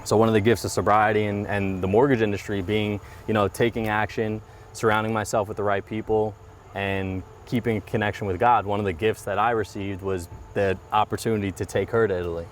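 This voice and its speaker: Male guest, 30s, low voice, sincere and reflective, casual outdoor chat. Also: flat delivery, fairly quick, slight background noise. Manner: earnest, reflective, repeats phrases. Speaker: guest, mortgage business owner. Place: outdoors by a parked car.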